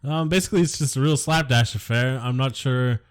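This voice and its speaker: A man's voice: medium pitch, fairly quick, clear, resonant tone. Sarcastic man griping about a movie. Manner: sarcastic, dismissive, swears casually. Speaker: podcast co-host. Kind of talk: casual film review chat.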